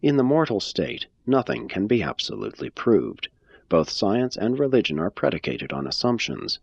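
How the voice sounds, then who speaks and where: A man giving a measured, phrase-by-phrase scripted reading of a religious text. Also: on the low side, sing-song, creaky, breathy edge, no room sound, dull audio. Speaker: reader.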